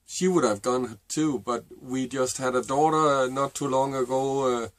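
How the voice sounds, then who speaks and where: A middle-aged man with a Danish accent, a native Danish speaker, telling a personal story to camera in a halting, reflective way. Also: mid-range voice, no room sound. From Denmark. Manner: halting and reflective, with many fillers and restarts. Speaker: vlogger.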